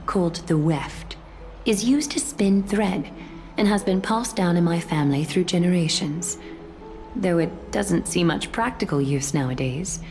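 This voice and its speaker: An actress playing a composed, regal noblewoman leader in a video game, speaking formally and in a measured, gracious way. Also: lowish voice, mellow. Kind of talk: scripted video game dialogue.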